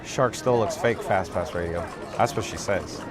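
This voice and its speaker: A man with a raspy voice hosting a casual livestream outdoors, reading chat aloud in a dry, self-mocking monotone while holding his camera rig. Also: deep voice, normal speed. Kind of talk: casual live-stream chatter. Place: outdoors on a parade route. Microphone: camera rig held by the speaker. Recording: outdoor crowd with music behind.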